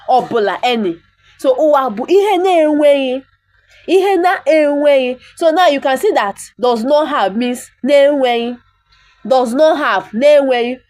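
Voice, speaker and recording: A woman's voice: high-pitched, darkish tone. A woman, an Igbo speaker, teaching the language by reading a bible verse and repeating words for pronunciation. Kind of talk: reading and explaining a bible text.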